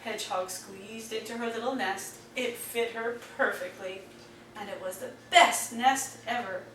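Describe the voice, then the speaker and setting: A woman reads a children's story aloud with deliberate expression. Her voice is high and lively in pitch, and she takes her time. The recording is roomy. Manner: deliberate, addressing young children. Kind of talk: expressive picture-book read-aloud. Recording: roomy, distant mic, faint noise.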